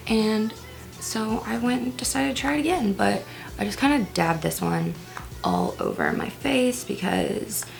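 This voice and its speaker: A woman's voice: on the low side, gravelly. A woman in her early twenties chatting casually at home while doing her makeup, self-deprecating, with whispered asides. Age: 20s. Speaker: vlogger. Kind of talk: casual get-ready-with-me chat.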